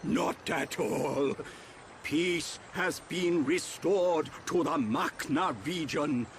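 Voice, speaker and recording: Pompous, high-pitched old creature chief. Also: male voice, a little hiss or hum. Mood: gracious. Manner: grandiose, formal, deliberate proclamations. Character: elderly male furry village chief, pompous, wily, kindly. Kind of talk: theatrical video game acting.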